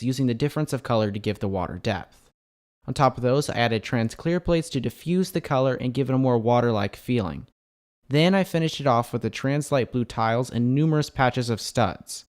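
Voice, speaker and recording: A man's voice: medium pitch, animated, a little fast. A man hosting a hobby building channel, narrating a scripted showcase in an enthusiastic way. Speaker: host of a LEGO building channel.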